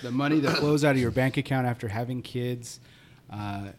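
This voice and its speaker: A man in his thirties co-hosting a podcast, chatting casually with lots of restarts and fillers. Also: mid-range voice. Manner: halting, many fillers and restarts, friendly. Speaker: podcast co-host.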